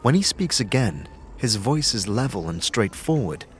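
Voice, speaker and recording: A man narrating a fantasy audio drama from a script in a measured, suspenseful storytelling manner. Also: lowish voice, big pitch swings. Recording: music under the voice.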